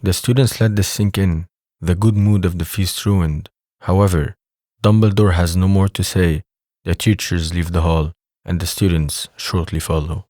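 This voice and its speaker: A man's voice: sits low, steady tone, moderate pace, mellow, no echo, studio quality. A man reading scripted narration for an audio drama as its narrator.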